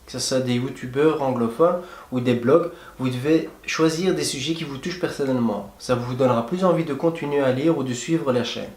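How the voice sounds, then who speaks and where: A man's voice: mid-range voice, brisk, darkish tone. Young man vlogging in an echoey room. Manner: friendly, plain-spoken, addresses viewers directly. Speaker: travel vlogger. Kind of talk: casual advice vlog to camera.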